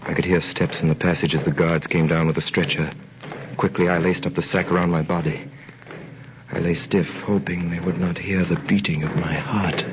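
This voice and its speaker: Man playing a low-voiced, grave prisoner, vintage radio. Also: fairly even intonation, close to an everyday voice. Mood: grave, tense. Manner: grave, dramatic, measured. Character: earnest male prisoner recounting his ordeal. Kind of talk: 1930s radio acting, dramatic narration. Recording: muffled, hissy 1930s radio recording.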